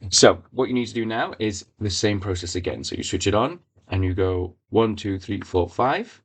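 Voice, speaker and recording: A man's voice: lowish voice, expressive, normal speed, breathy edge. A man whose first language is Welsh, giving a rambling, casual walkthrough of his own device, with many fillers and restarts. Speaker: device maker.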